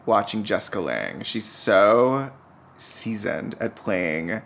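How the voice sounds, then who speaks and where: Guy with a lowish voice just chatting on a podcast, informal, really emphatic. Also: very animated, takes it slowly, vocal fry, fairly bright tone, a little hiss or hum. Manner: informal, emphatic, frequent "like" and "right?". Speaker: podcast guest reviewing TV pilots. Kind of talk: casual chat.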